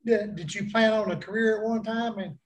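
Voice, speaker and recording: A man hosting a casual interview podcast, with a voice that sits notably high for a man. His phrasing is plain and folksy, and he speaks in a relaxed, conversational way. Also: brisk. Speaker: podcast host.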